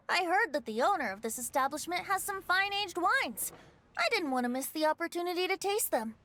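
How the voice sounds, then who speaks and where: High, lilting, cheeky boy bard. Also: animated, moderate pace, fairly bright tone, a little hiss or hum. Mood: breezy, teasing. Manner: breezy, teasing. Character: carefree, cheeky young male bard who loves wine. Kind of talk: anime-style video game voice acting.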